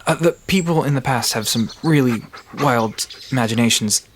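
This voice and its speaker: A woman's voice: very deep voice, moderate pace, slight background noise. A woman playing an easygoing, knowledgeable park guide in an audio drama, casual and reassuring as they explain things. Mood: explanatory.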